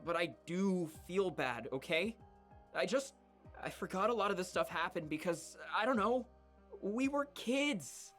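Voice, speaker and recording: A woman's voice: lowish voice, lively intonation, a little slow, a bit bright, faint music behind. A woman voicing an easygoing, nonchalant teenage boy in a visual novel, talking in a casual, hesitant, placating way. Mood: apologetic. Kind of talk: visual novel character acting.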